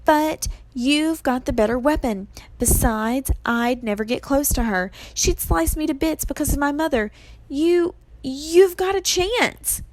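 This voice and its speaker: A woman voicing a clever, bossy young heroine in a storybook, brisk and insistent. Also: fairly high pitch, lively intonation, a little hiss or hum, voice pushed up, rough recording. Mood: urgent, insistent.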